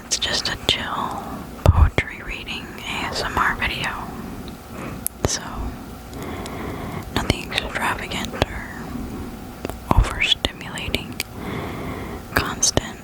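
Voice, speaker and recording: Slow, breathy ASMR whisperer. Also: rough recording. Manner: slow, gentle, soothing. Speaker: host of an ASMR reading channel. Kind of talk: whispered ASMR, poetry reading. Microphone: close desktop condenser microphone.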